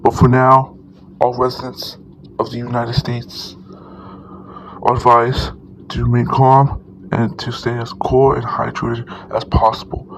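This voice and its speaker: Man playing official alert announcer, creaky voice, mid pitch, formal, noisy broadcast recording. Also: monotone, measured pace. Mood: grave, urgent. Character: official emergency announcer warning residents of deadly heat. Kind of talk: scripted emergency broadcast announcement.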